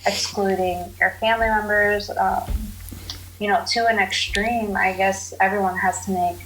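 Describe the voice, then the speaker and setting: A woman talks as a guest on a casual podcast, earnest and reflective. She often pauses to gather her thoughts mid-sentence. Her voice sits at an ordinary middle pitch. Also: fairly even intonation, a bit bright, slight background noise, poor audio.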